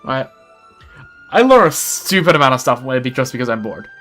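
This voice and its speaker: A man's voice: mid-range voice, big pitch swings, normal speed, fairly bright tone, soft background music. A young man chatting casually while playing a game on a livestream, rambling with lots of restarts and self-deprecating asides. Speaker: streamer. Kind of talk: casual gameplay livestream chat.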